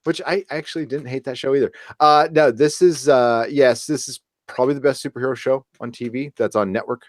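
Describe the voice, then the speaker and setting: A man's voice: mid-range voice, fairly even intonation, fairly quick, no echo, clean studio sound. A man hosting a live podcast in casual chat, rambling and joking, with some swearing. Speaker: podcast host.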